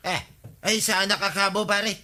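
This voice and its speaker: An actor playing a sheepish but stubborn villager in a comedy radio drama, protesting with an Ilocano accent. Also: male voice, sits high, fairly even intonation, normal speed, bright, forceful tone. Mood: defensive.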